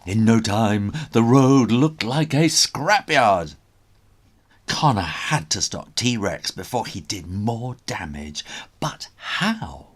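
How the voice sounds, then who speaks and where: A man reading a children's story aloud in a dramatic, expressive way. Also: lowish voice, takes it slowly.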